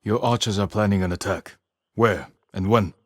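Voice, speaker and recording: An actor playing an earnest, resolute younger samurai, measured, serious and direct, in a dramatic video game scene. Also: male voice, on the low side, no echo, clean studio sound, lightly acted. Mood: firm, urgent. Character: earnest, resolute younger samurai warrior, wary and level-headed. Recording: clear noise of outdoor game ambience.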